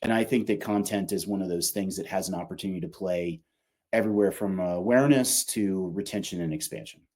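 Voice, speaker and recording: This is a man with a low-pitched, very mellow voice. He talks as an executive guest in a casual interview, putting his opinions in colloquial, emphatic terms. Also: fairly quick. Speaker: company revenue executive, podcast guest.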